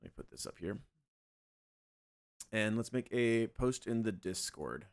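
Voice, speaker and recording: A young man streaming a game and chatting loosely with his viewers, his voice a little scratchy from yelling the night before. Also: lowish voice, a little slow. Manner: relaxed, rambling, talks to chat. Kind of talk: casual livestream chat.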